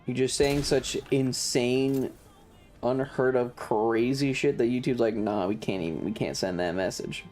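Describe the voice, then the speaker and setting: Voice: mid-range voice, measured pace, darkish tone. A game streamer chatting casually and swearing freely over game music. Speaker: livestream host. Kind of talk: casual gaming stream chat.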